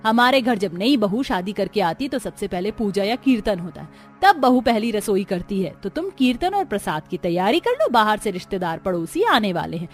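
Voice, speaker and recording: A woman's voice: medium pitch, big pitch swings, moderate pace, soft background music, lightly acted. A woman playing a bossy, disapproving middle-aged mother-in-law in a cartoon family drama, scolding with sarcasm and drama. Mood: stern.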